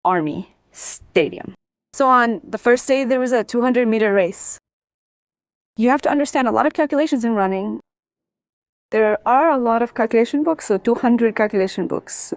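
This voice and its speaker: Female interview guest, high voice, dry close sound. Emphatic personal storyteller who repeats phrases for weight. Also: normal speed, no room sound. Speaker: interview guest, former sprinter.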